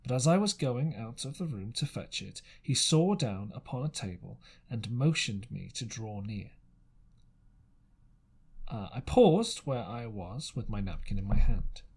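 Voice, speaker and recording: A man reads an adventure story aloud as an amateur narrator. His husky, mid-pitched voice moves in lively swings, and his dramatic delivery is halting, with stumbles and repeated phrases.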